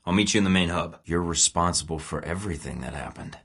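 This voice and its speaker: A hardened spy from a video game, terse and sardonic, with a very deep, low voice. He talks in cold, clipped threats and dry jabs. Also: fast, gravelly, a bit bright, husky, exaggerated voice. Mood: cold, defiant. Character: hardened male spy in his thirties, defiant. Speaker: game protagonist, secret agent.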